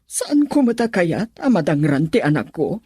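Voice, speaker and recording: A brooding middle-aged mother in a radio drama. Her voice is low and dark, husky and full of worry. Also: sing-song, moderate pace, no echo, clean studio sound. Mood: anxious. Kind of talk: Ilocano radio drama acting.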